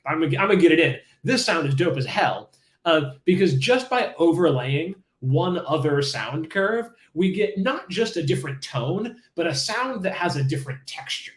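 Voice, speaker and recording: Casual, enthusiastic male stream instructor, slightly higher voice, roomy echo. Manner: casual, enthusiastic, many fillers and restarts. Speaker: livestream coding instructor. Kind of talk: live-streamed coding lesson.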